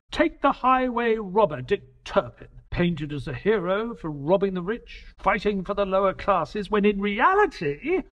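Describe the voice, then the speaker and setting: A high-voiced, sceptical detective's sidekick. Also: male voice, muffled recording, exaggerated voice. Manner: sceptical, expressive. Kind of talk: scripted audio drama dialogue and narration.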